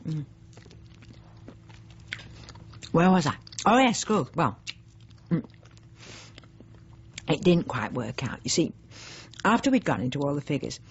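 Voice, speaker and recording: An actress playing a snobbish, self-satisfied middle-aged housewife who chats and justifies herself in a radio drama monologue. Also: female voice, low voice, creaky, highs cut off, slight background noise. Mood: chatty. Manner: chatty, self-justifying, genteel, digressive with pointed emphasis.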